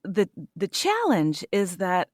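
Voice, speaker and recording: A woman voice actor answering interview questions in an earnest, animated way, laughing now and then. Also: on the low side, slow pace, no room sound, clean studio sound. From United States. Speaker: voice actor guest.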